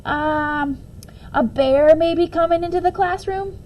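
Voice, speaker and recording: A woman's voice: very high-pitched, measured pace, dull audio, slight background noise, pitched above the performer's own voice. A woman voicing a cheeky, defensive second-grade boy in a storybook, with playful, slangy talk.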